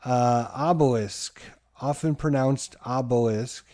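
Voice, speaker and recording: Middle-aged male host, board game channel; energetic announcer-style commentary on his own play. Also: medium pitch, takes it slowly. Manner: hyped, sports-announcer style play-by-play to viewers.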